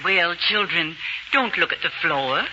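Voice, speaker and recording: A woman's voice: fairly low pitch, lively intonation, a little slow. A woman playing a gracious, reassuring queen in an old radio drama. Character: gracious, reassuring monarch addressing children. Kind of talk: 1940s radio drama acting. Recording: noisy, muffled old radio recording.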